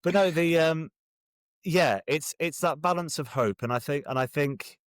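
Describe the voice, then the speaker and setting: A man's voice: a little high, moderate pace, no echo, clean studio sound. A British man talking casually as a guest author, wry, often hesitating and correcting himself mid-thought. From Birmingham, England. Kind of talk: casual interview conversation.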